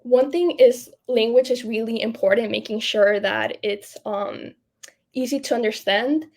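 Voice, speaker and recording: Young woman, high voice with creak. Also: steady tone, measured pace. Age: 20s. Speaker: podcast guest, public health student researcher. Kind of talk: conversational explanation.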